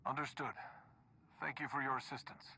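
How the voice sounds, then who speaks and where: A man's voice: mid-range voice, flat delivery, a little fast, gravelly, pushed and bright, a little hiss or hum. A man playing an earnest, resolute Jedi hero in a video game, formal and direct. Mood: grave, grateful. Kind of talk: video game cutscene dialogue.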